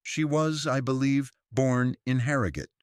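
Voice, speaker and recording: Man acting old lady, story character, lifted pitch, guarded, genteel, precise, dry. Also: a little slow, smooth, no echo, natural voice. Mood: prim approval. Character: prim, guarded old small-town woman.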